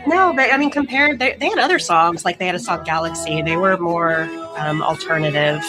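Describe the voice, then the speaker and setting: Lower-voiced woman chatting over clear music. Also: brisk. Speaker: podcast co-host. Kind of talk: casual chat. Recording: music clearly playing behind voice.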